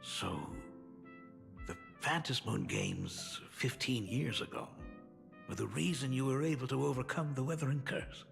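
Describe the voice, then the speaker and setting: An actor playing a doting, joking older father in a video game, with a gravelly, lively voice. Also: medium pitch, measured pace, long reverb tail, faint music behind. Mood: warm.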